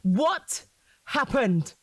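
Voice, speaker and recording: Sceptical, probing wife, close and dry. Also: female voice, a little high, sing-song, very slow, a bit bright, no echo, full character voice. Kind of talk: naturalistic audio drama dialogue.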